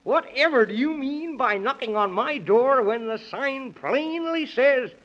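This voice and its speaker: An actor playing a fussy, scatterbrained moon doorkeeper in a 1930s children's radio drama, blustery and rambling. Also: male voice, very high-pitched, animated, unhurried. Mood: flustered scolding. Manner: blustery, rambling, self-correcting. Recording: dull old 1930s radio recording.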